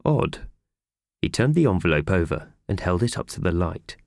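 A man narrates a children's story in scripted audiobook style. His pitch is extremely varied and animated, and the recording is very dry and close. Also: a little fast, vocal fry, no echo.

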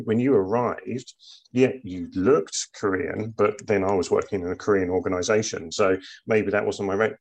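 A man hosting a podcast, asking his guest questions in a friendly, conversational way. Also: low-pitched. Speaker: podcast host. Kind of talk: podcast interview.

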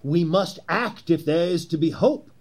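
An actor playing a zealous, persuasive Confederate conspirator in a historical drama, with a husky voice and theatrical, oratorical delivery. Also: male voice, a little high, measured pace. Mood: urgent, coaxing. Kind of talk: period historical drama acting.

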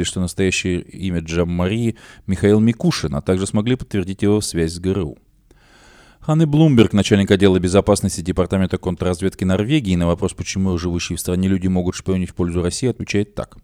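A man reading a news report on a radio program, running through quotes in the same steady reading voice. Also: low-pitched, no room sound, studio quality. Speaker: news presenter.

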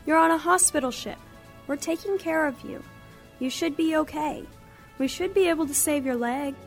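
A woman's voice: high-pitched, normal speed, vocal fry, faint music behind. A woman playing a reassuring nurse on a hospital ship in a World War Two radio drama.

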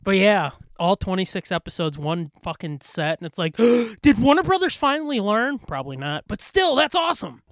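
Animated, high-pitched man swearing freely. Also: moderate pace, hardly any pauses, dry recording, studio quality. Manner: profane, animated fan talk. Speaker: podcast co-host. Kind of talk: casual pop-culture chat.

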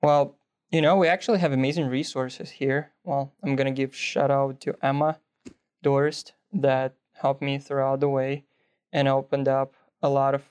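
Young male guest from Belarus, Russian native, smooth clear voice, earnest answers. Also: mid-range voice, flat delivery, moderate pace, a little mellow. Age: young adult. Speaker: guest, nursing student. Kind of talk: interview answers.

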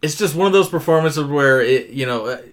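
A man's voice: fairly high pitch, expressive, a little fast. A man co-hosting a podcast in casual chat, talking hesitantly with frequent "uh" and "you know". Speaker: podcast co-host.

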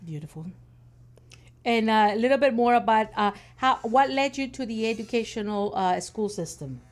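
A woman's voice: a little high, lively intonation, normal speed. A woman hosting an interview show, speaking in an upbeat, emphatic way and often affirming her guest. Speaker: host.

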